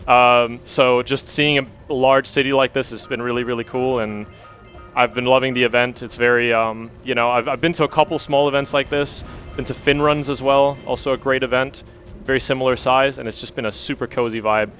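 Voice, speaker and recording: A man's voice: medium pitch, flat delivery, fairly quick, a bit bright, soft background music. A man from Denmark giving casual, chatty live commentary as a speedrunner, with an easygoing manner.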